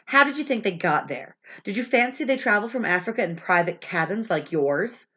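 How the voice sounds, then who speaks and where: A woman's voice: medium pitch, expressive, a little fast, pushed and bright. A woman voicing a proud, scornful young male sailor in a storybook, taunting and indignant, with a stuffy voice. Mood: scornful indignation. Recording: dull, lo-fi sound.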